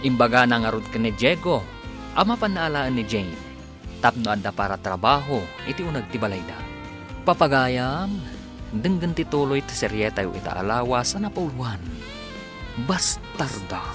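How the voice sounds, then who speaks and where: Animated Ilocano radio announcer man over music. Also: mid-range voice. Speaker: radio drama announcer and narrator. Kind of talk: scripted radio narration. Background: music under the voice.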